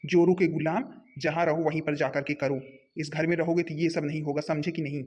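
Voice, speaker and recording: Man playing high-voiced bossy cartoon elder brother. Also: little pitch movement, a little fast, a little mellow, slightly rough. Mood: angry, scolding. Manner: scolding, repetitive, bossy. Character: angry, domineering elder brother scolding his household. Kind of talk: Hindi family comedy cartoon voice acting.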